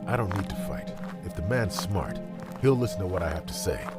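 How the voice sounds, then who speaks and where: An actor playing a weary, middle-aged male ex-soldier wanderer who speaks gruffly and calmly. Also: low voice, a little fast. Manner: gruff, calm, determined. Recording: loud music behind the voice.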